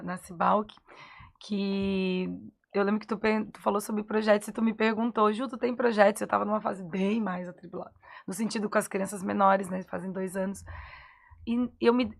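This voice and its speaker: A woman's voice: medium pitch, vocal fry. A Brazilian woman co-hosting a psychology podcast, talking reflectively in a casual conversation. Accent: Brazilian Portuguese. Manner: reflective, affirming with frequent "uhum".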